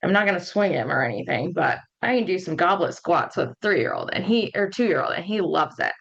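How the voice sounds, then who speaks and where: Woman in her thirties, casual solo podcast host, a bit hoarse, chatty with ums, slightly dull lo-fi sound. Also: fairly low pitch, sing-song, fairly quick, fairly bright tone. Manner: chatty, conversational, frequent ums and likes. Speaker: podcast host and postpartum nutrition coach.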